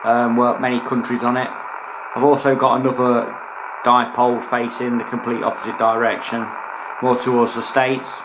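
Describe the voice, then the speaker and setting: A man's voice: mid-range voice, monotone, normal speed, background noise. A British man giving a casual, chatty video review as a hobbyist, using informal slang. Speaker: radio hobbyist reviewing his collection.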